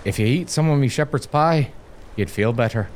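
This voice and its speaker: A man's voice: mid-range voice, normal speed, darkish tone, lightly acted. A man playing a hearty, food-loving, teasing sailor who talks colloquially in a comic audio drama. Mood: hearty, jolly. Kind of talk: gothic comedy audio drama dialogue.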